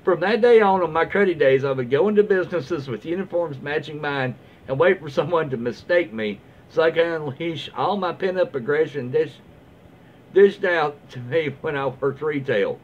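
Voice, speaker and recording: A middle-aged man casually reading online stories aloud and adding his own comments, in an informal, halting way. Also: on the high side. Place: small room at home, indoors, seated in armchair. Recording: dull lo-fi home recording, faint noise.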